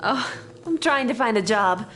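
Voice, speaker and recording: A woman's voice: fairly high pitch, animated, unhurried, fairly bright tone, soft background music. A woman playing a friendly, perky young hopeful in a noir video game, bubbly and sociable.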